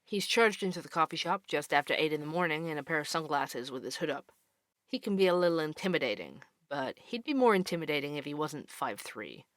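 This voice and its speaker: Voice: mid-range voice, sing-song, brisk, fairly bright tone, dry recording, clean studio sound. An actor playing an inquisitive young podcast host who investigates mysteries, in an audio drama. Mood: wry, friendly. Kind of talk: audio drama dialogue and narration.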